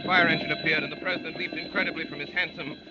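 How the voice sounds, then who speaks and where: Actor playing an urgent, theatrical adventurer, high-pitched male narrator. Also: fairly even intonation, very fast, lightly acted. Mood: excited, urgent. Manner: theatrical, declamatory. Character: male adventurer recounting a wild chase, urgent, amazed. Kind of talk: 1930s radio drama acting, first-person narration. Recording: dull 1930s radio recording, music behind.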